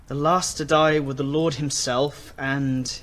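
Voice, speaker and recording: Young scholar companion, higher-pitched, bookish, flustered, expressive, video game dialogue, some background noise. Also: male voice. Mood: appalled, sombre. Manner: hesitant, expository, expressive.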